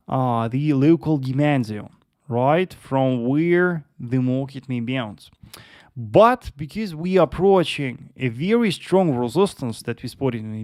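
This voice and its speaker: A young man with a Russian accent talks through his charts on a live trading stream, mid-pitched and recorded dry and close. He speaks patiently and repeats himself, filling pauses with "uh" and "yes" as he reads out viewers' questions. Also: a little mellow, no room sound. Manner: patient, repetitive, lots of uh and yes. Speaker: trading livestream host. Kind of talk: live-streamed market analysis with chat Q&A.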